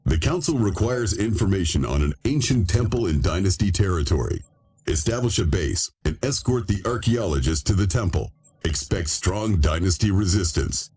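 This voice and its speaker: An actor playing a male officer giving a crisp mission briefing. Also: deep voice, lively intonation, normal speed, mellow, faint music behind, heavily acted.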